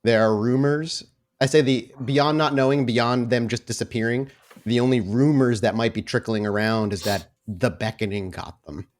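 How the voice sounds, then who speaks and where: A man narrating a tabletop roleplaying game as game master, explaining in a measured way. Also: on the low side.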